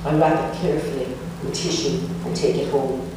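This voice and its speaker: A man reading a personal memoir piece aloud at a book launch, quiet and solemn. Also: mid-range voice, fairly even intonation, a little fast. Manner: quiet, solemn reading, then warm thanks. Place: large echoey museum hall. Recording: echoey hall, voice from far off, noisy.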